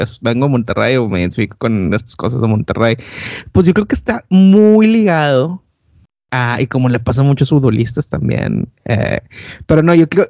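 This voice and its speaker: A man's voice: on the high side, darkish tone, no room sound, highs cut off. Slangy, reflective man, dry sound. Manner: slangy, reflective, hedging with fillers. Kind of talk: casual chat.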